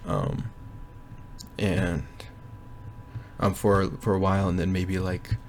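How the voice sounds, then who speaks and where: A young man giving a casual, rambling progress update from his bedroom, often pausing to think aloud. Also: low-pitched, flat delivery, slight background noise. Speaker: language learner vlogger. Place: bedroom at home.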